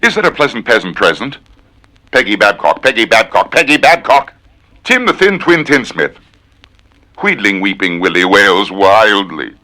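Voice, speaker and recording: A man with a low, bright voice performs as a theatrical reciter. His pitch swings widely and every word is dramatically and precisely enunciated, with a forceful edge. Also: sing-song, normal speed. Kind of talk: theatrical tongue-twister recitation.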